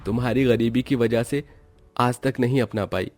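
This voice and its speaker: A man's voice: medium pitch, fairly even intonation, normal speed, darkish tone, soft background music, natural voice. A man voicing a caring young husband in a cartoon story, tender and protective in manner. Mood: affectionate. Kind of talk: acted Hindi cartoon story dialogue.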